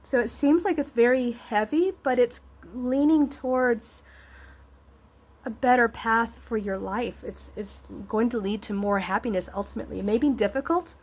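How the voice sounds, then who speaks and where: A woman with a fairly high voice talks through a tarot reading in a casual, supportive way. She sounds a bit scratchy and nasally congested, as if getting over an illness. Her delivery wanders as she hunts for the right words. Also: moderate pace. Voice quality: slightly scratchy. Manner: rambling, searching for words, encouraging. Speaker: tarot reader and host.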